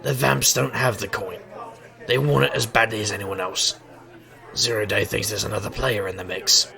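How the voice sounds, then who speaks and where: Man playing a gravelly, wry private eye. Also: lowish voice, fairly even intonation, moderate pace, pushed and bright. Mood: casual, teasing. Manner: wisecracking, sardonic. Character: wry, hard-drinking male private eye and street magician. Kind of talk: noir audio drama acting and narration. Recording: crowd murmur behind.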